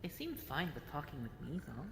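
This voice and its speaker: A woman with a low voice role-plays in a measured, halting manner, explaining things carefully. The sound is roomy, with clear noise behind her. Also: sing-song, fairly bright tone. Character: earnest, diplomatic young queen. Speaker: role-play participant. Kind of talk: improvised in-character role-play dialogue. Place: indoor room, online voice chat. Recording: roomy, noisy amateur microphone.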